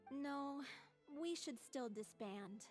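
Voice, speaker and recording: A woman's voice: high-pitched, lively intonation, slow, darkish tone. A woman playing a frail, earnest young female performer in a video game, weary yet stubborn. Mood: resolute. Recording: long reverb, faint music behind.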